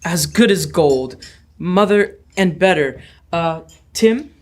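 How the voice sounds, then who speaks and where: A man's voice: high-pitched, animated, takes it slowly. A young man playing a kindly, hopeful father in an old-time radio drama. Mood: fond, hopeful. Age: teens.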